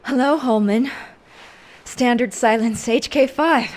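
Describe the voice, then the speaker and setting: A woman's voice: a little high, slow pace. Cold menacing female agent. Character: tough female agent interrogating a traitor, dying and relentless.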